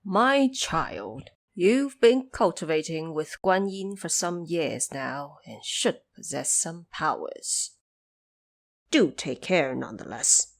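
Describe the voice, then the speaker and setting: A woman's voice: low voice, animated, slow, creaky, exaggerated voice. Older celestial king female performer, stately commander and father, formal, authoritative, for fantasy audio drama. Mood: paternal concern.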